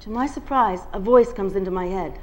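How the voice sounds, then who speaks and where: A woman's voice: mid-range voice, brisk. A woman reading a novel aloud at a bookstore, telling the first-person story with expression. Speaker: guest reader. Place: bookstore reading before an audience.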